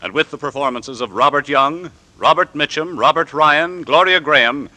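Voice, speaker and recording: A man announcing in theatrical 1940s radio style, with dramatic, deliberate emphasis and suspenseful pauses. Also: on the high side, expressive, bright, forceful tone. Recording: vintage 1940s radio recording with music.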